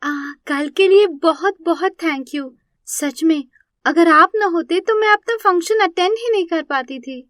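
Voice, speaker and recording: A woman voicing a sweet, polite, earnest young woman in an animated moral story. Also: very high voice, a little slow, dry recording, clean studio sound, voice pushed up. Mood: grateful. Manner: polite, pleading, heartfelt.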